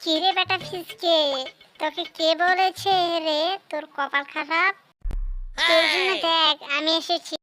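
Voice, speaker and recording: A woman's voice: extremely high pitch, steady tone, unhurried, bright, forceful tone, soft background music, heavily acted. A woman voicing a bossy but generous magic goat in a cartoon, with a brash, commanding manner. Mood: brash, boastful. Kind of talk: cartoon character dialogue.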